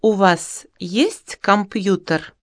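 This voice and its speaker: Friendly student Luda, slowly. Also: female voice, mid-range voice, dry recording, clean studio sound. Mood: plain. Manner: clearly enunciated, even. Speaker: dialogue reader in a language course. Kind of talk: slow, clear textbook dialogue reading.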